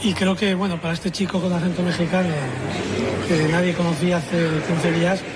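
A man making informal, halting remarks that often end in tag questions. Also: sits high, level tone. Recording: noisy location clip with ambience. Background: ambient noise.

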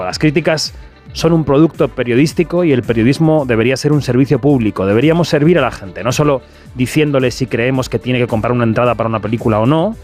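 A man's voice: mid-range voice, darkish tone. A man hosting a radio film show, speaking in an emphatic, opinionated way. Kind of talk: radio show monologue and interview.

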